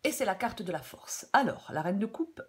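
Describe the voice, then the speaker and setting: A woman with a French accent giving a tarot reading in a casual, hesitant way, slightly hoarse, with many fillers and repeated phrases. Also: mid-range voice, sing-song, moderate pace. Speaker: tarot reader. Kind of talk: casual spoken tarot guidance.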